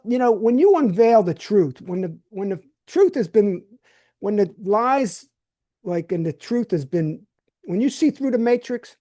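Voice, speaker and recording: A man's voice: high-pitched, gravelly, mellow. An older man hosting a podcast, talking bluntly in a loose solo monologue and often restarting his words. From New Jersey. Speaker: podcast host. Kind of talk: casual monologue.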